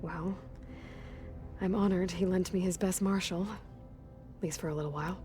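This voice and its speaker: Young female team leader, played by an actress, low dark mellow voice, earnest, direct, natural game acting. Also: steady tone, normal speed, soft background music, lightly acted. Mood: sympathetic. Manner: direct, plain-spoken. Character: earnest young woman warrior leading a team, direct, inquisitive.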